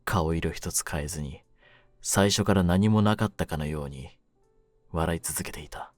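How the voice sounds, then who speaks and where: A man playing a cynical, wounded young mafia kidnapper in an audio drama, with a low voice and rough, brooding delivery. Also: normal speed, dry recording, soft background music, natural voice. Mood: grieving, bitter. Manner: rough masculine slang, taunting, brooding delivery. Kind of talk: Japanese situation-voice audio drama, ASMR.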